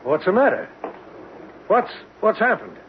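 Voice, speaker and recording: An actor playing an impatient, blustery oil drilling boss in a radio drama, brusque and sarcastic. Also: male voice, high-pitched, very animated, a little slow. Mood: exasperated. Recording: noisy, muffled old radio broadcast.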